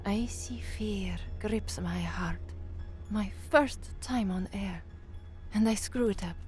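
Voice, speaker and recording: A woman's voice: medium pitch, slow pace, a little mellow, lightly acted. Woman acting earnest young woman over faint music. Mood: dismayed. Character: anxious, earnest young slum woman, aspiring newsreader. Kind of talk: first-person dramatic narration.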